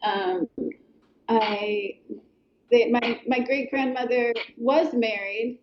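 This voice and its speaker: Middle-aged woman guest, slightly high, thoughtful and halting; roomy, muffled remote sound. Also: steady tone, a little hiss or hum. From Colorado, USA. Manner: thoughtful, hesitant, many ums and restarts. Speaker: author guest. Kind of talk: reflective interview talk.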